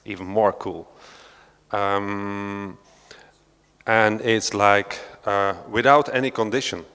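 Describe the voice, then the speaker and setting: A man's voice: on the low side, fairly even intonation, slow, fairly bright tone, clear, resonant tone. Hesitant Belgian man in a reverberant hall. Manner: hesitant, many fillers and restarts, non-native phrasing. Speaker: panel speaker, researcher. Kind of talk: unscripted talk at a panel discussion. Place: large hall of an art centre.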